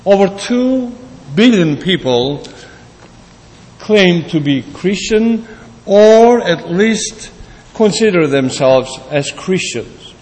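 Man preaching slow, kinda high voice, lots of pauses and uhs, big church echo. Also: expressive, a little mellow. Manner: slow, deliberate preaching with many pauses and fillers. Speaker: pastor. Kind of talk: sermon. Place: church sanctuary. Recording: church hall reverb.

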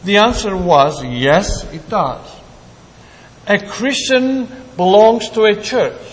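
A man preaching a sermon in a slow, deliberate way, with pauses and fillers, in a church. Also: sits high, lively intonation. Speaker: pastor. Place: church sanctuary. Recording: church hall reverb.

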